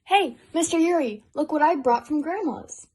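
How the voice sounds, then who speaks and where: Small child for a radio play: very high, eager, innocent. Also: female voice, animated, moderate pace, a little mellow, a little hiss or hum. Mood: excited. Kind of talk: amateur radio play acting.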